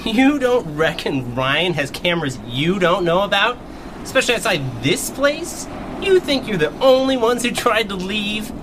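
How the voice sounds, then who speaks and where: Casting: male actor as smug audio-drama thug, high animated voice, taunting, noisy backdrop. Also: a little slow. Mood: gloating. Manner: taunting, rhetorical. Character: smug, mocking armed henchman, adult man, gloating.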